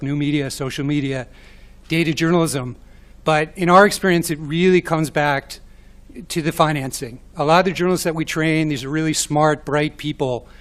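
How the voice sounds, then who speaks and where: A man with a New York accent speaking as a panelist, measured and thoughtful with some restarts. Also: a little high. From New York City. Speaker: panelist, media organization director. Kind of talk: panel talk.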